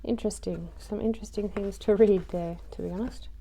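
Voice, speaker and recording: Chatty gravelly-voiced woman crafter. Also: medium pitch, fairly even intonation, a little fast, mellow, slight background noise. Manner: chatty, rambling, many ums, self-deprecating. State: voice just recovered from loss. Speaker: craft journaling vlogger. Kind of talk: casual crafting tutorial.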